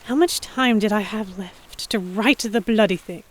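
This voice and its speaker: Woman as young noblewoman, first-person audio drama narration, anxious, introspective, tentative, medium pitch. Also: a little slow, natural voice. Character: anxious young noblewoman heroine narrating her captivity among pirates.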